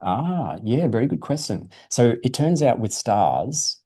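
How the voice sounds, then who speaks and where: A man's voice: medium pitch, sing-song, unhurried, dark tone, slightly rough. A man presenting science, answering questions informally in an encouraging, explanatory way for a young audience.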